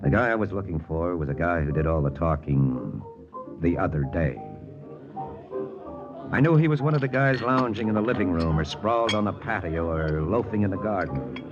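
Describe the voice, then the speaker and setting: An actor playing a wry American army lieutenant hunting spies, in a 1940s radio drama with first-person narration. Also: male voice, deep voice, animated, moderate pace. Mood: wry, confiding. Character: wry American army lieutenant and spy-hunter, quietly lovesick. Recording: muffled old radio recording, music behind.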